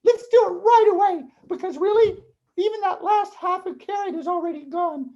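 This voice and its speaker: A woman's voice: very high-pitched, normal speed, mellow, dull audio. A woman voicing a fretful, kindly older mother in a puppet show, hesitant and sighing as she speaks. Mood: eager. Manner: hesitant, sighing, repeating words. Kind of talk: children's puppet show dialogue.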